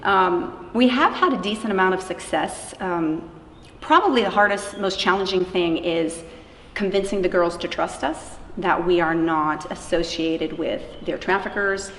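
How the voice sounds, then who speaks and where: Woman, lowish pitch, earnest interviewee, conversational, reverberant room, faint noise. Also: measured pace, fairly bright tone. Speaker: interviewee, missionary running an anti-trafficking organization.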